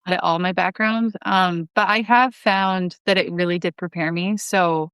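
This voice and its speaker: A woman with a clear, smooth and slightly low voice speaks as an interview guest. She explains things conversationally, and the recording is dry with no room sound. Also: fairly even intonation, moderate pace, a bit bright, dry recording. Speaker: podcast guest, head writer and producer.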